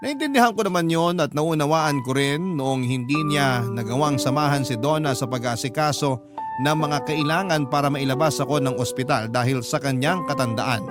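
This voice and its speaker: A man's voice: medium pitch, moderate pace. A man with a Filipino accent reading a first-person story as a radio drama narrator. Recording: clear music bed under narration.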